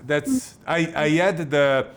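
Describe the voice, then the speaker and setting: Host: man, voice sits a bit high, casual and halting, reads out viewer questions. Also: animated, crowd noise. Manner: broken English phrasing, halting. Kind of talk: casual live chat.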